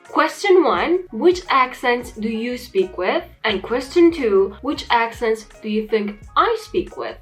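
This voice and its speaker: A woman's voice: fairly high pitch, expressive, slow pace, hardly any pauses. A young adult woman from Turkey, Turkish native, speaking English with a blend of Turkish and American accents in an earnest, direct-to-camera talk. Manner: earnest, plain-spoken, emphatic. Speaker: English teacher vlogger. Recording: faint background music.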